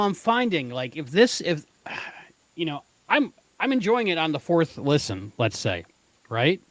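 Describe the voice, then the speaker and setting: A man's voice: on the high side, no room sound, rough recording. A man co-hosting a casual podcast, bantering in a playful, teasing way. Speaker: podcast co-host.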